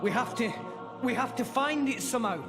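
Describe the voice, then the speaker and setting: A man's voice: extremely high pitch, expressive, a little fast. A man playing an earnest, determined teenage boy hero in a video game, with an eager, heartfelt delivery. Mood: urgent. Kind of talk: dramatic video game cutscene acting. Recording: long reverb, loud music behind.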